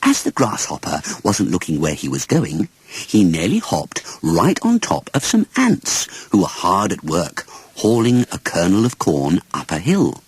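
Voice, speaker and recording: A man narrating a children's story as an expressive audiobook reader. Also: low-pitched, unhurried, husky, no room sound.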